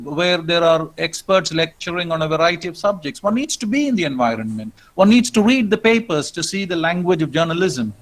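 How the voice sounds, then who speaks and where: Reflective Indian man lecturing, slightly high voice. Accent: Indian English. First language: not English. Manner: reflective, with frequent "um" and "uh" pauses. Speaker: professor.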